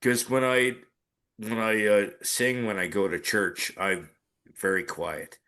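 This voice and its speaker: A man with a very deep voice, telling a story on a casual podcast with many restarts and fillers. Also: fairly even intonation, takes it slowly, pushed and bright. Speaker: podcast co-host. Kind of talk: casual chat.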